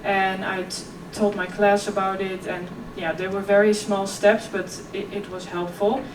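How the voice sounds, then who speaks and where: A woman's voice: medium pitch, level tone, unhurried. A young woman giving a keynote talk, stuttering openly and speaking casually with many fillers. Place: large conference hall. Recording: echoey hall, distant mic, audience noise.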